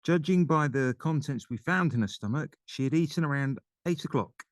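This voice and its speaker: A man's voice: fairly high pitch, animated, normal speed, dry recording, natural voice. An actor playing a nervous male mortician who fumbles hesitantly through his report in an audio drama.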